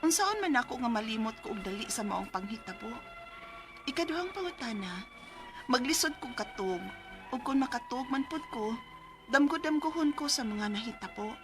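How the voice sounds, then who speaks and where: A woman's voice: fairly high pitch, animated, normal speed, close to an everyday voice. An actress playing a frightened, trauma-shaken working mother in an emotional, plaintive radio drama style. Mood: anxious, pleading. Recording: music bed under the voice.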